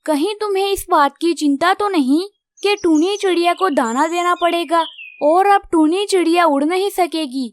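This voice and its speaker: Woman as a very high-voiced, gentle little bird. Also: moderate pace, no room sound. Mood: resigned, reassuring. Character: wise, brave, grateful little female tailorbird. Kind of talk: Hindi children's cartoon dialogue.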